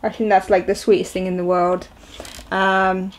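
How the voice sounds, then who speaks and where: A woman's voice: medium pitch, flat delivery, moderate pace, slight background noise, poor audio. Chatty young woman rambling over an unboxing. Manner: chatty, rambling, lots of ums and uhs. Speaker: video host. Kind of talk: casual unboxing chat. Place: small indoor room at home, seated on a sofa.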